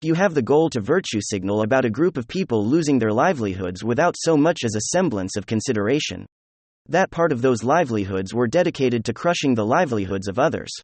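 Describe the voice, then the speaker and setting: A man's voice: mid-range voice, animated, fairly quick, darkish tone, no room sound. A man delivering opinionated news commentary in a sarcastic, mocking manner. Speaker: political commentator.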